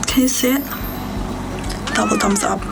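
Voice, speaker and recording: A woman's voice: on the high side, moderate pace, creaky, loud music behind the voice. A young woman from Indonesia, a student, earnestly showing her skincare routine in a casual vlog. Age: young adult. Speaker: student vlogger.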